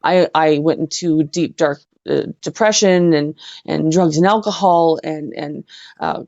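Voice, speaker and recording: A middle-aged woman with a Canadian accent, telling personal stories as an interview guest in an earnest, halting way. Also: low-pitched, a little mellow, no room sound, lo-fi sound. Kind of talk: personal storytelling in conversation.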